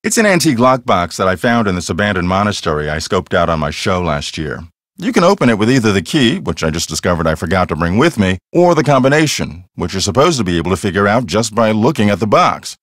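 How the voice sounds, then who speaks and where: Video game ghost hunter: cocky, theatrical, earnest, lively pitch swings, dry close sound. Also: male voice, quick pace, dry recording. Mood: explaining. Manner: theatrical, self-assured explaining.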